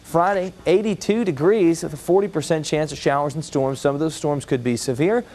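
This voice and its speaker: A man's voice: a little high, a little fast, darkish tone. An American man delivering an ad-libbed weather forecast on a broadcast newscast.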